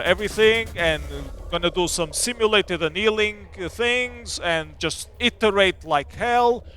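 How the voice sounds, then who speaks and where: A man's voice: sits high, a bit bright. A man presenting a casual technical seminar in a loose, filler-heavy, informal way. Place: seminar room at a demo party.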